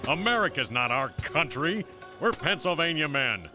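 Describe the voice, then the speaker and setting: Loud music sits behind a stubborn working man voiced by an actor in a cartoon. His highish voice swings with blunt, gruff scorn. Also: lively intonation, moderate pace, lightly acted. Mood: scornful. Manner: blunt, scoffing. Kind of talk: animated historical cartoon acting. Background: loud background music.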